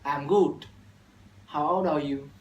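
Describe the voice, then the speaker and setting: A man's voice: on the high side, takes it slowly, dull audio. A young Vietnamese man in his late teens speaks in careful, rehearsed English with a Vietnamese accent, restarting phrases now and then. He sounds distant from the mic in an echoey room. A faint hiss sits under the voice. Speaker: university student. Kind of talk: scripted classroom dialogue practice.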